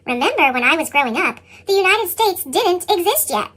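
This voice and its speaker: Woman as a chipmunk-voiced boy narrator, extremely high, animated, earnest, storybook read-aloud. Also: brisk, exaggerated voice. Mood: determined. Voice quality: squeaky, sped-up chipmunk sound. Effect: pitched-up chipmunk voice effect. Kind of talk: scripted picture-book narration.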